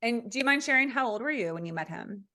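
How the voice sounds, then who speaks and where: A woman hosting a podcast, asking gentle and encouraging interview questions in a casual chat. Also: a little high, lively intonation, quick pace. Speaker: podcast host.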